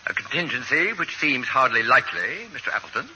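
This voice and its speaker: An actor playing a haughty, precise gentleman genius in a 1940s radio drama, pompous and formal, with clipped diction. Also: medium pitch, very animated, fairly quick. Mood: haughty disdain. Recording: bright, noisy old radio recording.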